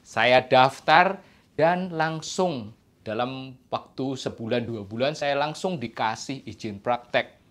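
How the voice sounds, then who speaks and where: Measured middle-aged man. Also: mid-range voice, a bit bright. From Indonesia. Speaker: doctor, medical specialist presenting to camera. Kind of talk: explanatory opinion talk to camera. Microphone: clip-on lapel mic.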